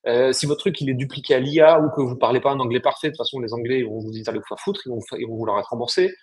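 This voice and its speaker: A man talks business on a podcast. He speaks quickly, with a mid-pitched voice, and his explanations are blunt and full of slang. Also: darkish tone. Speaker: podcast co-host, agency founder. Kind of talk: casual business chat.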